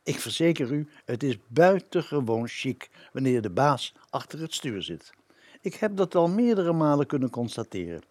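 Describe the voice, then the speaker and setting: A man's voice: medium pitch, big pitch swings, normal speed, a little mellow, dry recording. A man playing a proud, fussy chauffeur who addresses his boss formally and politely in a radio play. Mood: earnest, self-assured.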